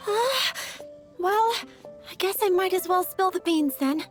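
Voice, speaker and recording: Dreamy lovestruck florist. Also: female voice, extremely high pitch, lively intonation, takes it slowly, soft background music, exaggerated voice.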